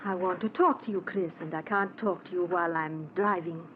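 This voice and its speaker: A woman's voice: lowish voice, a little fast, lightly acted. Melodramatic, possessive wife, acted by a woman, old radio. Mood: pleading. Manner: intense, melodramatic, imperious. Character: possessive, scornful wife, volatile and vengeful. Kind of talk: old-time radio mystery acting. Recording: muffled, noisy old radio recording.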